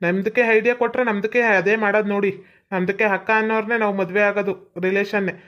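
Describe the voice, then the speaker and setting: A man's voice: high-pitched, steady tone, racing, dull audio, natural voice. A man playing a cheeky young man who speaks broken Kannada with odd phrasing, in a comedy skit. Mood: arguing.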